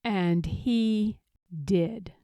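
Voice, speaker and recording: A woman narrating a children's storybook aloud in a measured, expressive manner. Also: mid-range voice, smooth, dry recording. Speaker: storybook reader.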